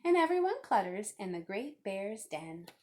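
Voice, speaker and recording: A woman's voice: medium pitch, sing-song, normal speed, darkish tone. A woman reading a children's storybook aloud with theatrical emphasis, drawing out key words. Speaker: storybook reader. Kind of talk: expressive children's read-aloud narration.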